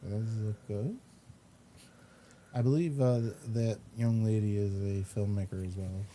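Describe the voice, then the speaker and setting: A man hosting a casual commentary on photos, speaking haltingly with pauses mid-sentence. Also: on the low side, steady tone, dark tone, slight background noise. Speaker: host.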